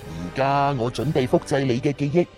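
A man's voice: medium pitch, darkish tone, natural voice. A man playing a taunting, theatrical villain in a sci-fi radio drama, drawing out his threats. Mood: smug gloating. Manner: mocking, theatrical, drawn-out menace. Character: gloating, taunting male villain with sci-fi gadgets. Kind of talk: Cantonese audio drama acting. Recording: music behind the voice.

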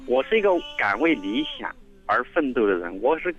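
A man calling in to a radio show, speaking earnestly and haltingly, often repeating his words. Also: mid-range voice, big pitch swings, a bit bright. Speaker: caller. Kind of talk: phone-in conversation. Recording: muffled phone line with faint music.

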